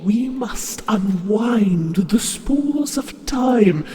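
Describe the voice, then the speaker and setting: A woman playing a solemn, measured Fate of myth in an audio drama, portentous and deliberate. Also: on the high side, expressive, mellow. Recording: long echoing hall reverb.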